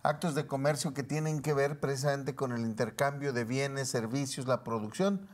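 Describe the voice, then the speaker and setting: Mexican man explaining in measured detail. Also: mid-range voice. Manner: didactic, defines terms step by step. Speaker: tax law commentator on a panel show. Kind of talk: explanatory talk show discussion.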